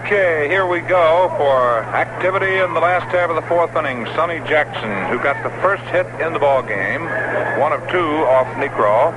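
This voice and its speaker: A man doing 1960s radio baseball play-by-play in a folksy, chatty way between pitches. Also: lively intonation, normal speed. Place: stadium broadcast booth. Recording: noisy vintage AM radio broadcast recording.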